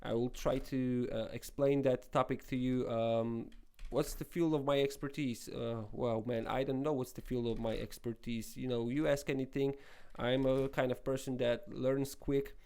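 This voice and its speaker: A young Polish-accented man talks through his screen tutorial in a loose, rambling way, restarting his sentences over and over. His voice is mellow and smooth, and his pitch stays fairly flat. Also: moderate pace. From Poland. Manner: rambling, many restarts and fillers. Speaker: web development tutorial host. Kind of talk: casual screen-recorded tutorial talk.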